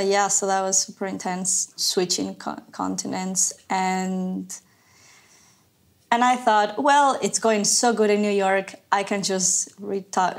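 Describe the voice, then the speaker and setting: Lively woman from Ecuador telling her story. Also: medium pitch, vocal fry. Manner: lively storyteller, eager and quick. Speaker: guest, animator. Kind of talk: casual interview chat.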